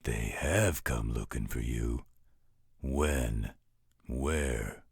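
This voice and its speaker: A man playing a gruff, overprotective werewolf guardian in an audio roleplay, gravelly and husky, with a protective, fatherly manner. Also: extremely low pitch, extremely slow, dry recording, studio quality, heavily acted. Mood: worried, protective. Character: gruff, overprotective male werewolf guardian, tender toward his ward.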